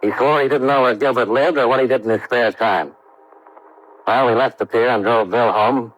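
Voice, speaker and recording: An American actor playing a brisk, hard-boiled investigator who narrates his case in clipped, matter-of-fact 1950s radio style. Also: mid-range voice, lightly acted, poor audio. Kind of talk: 1950s radio detective acting. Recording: vintage radio drama recording.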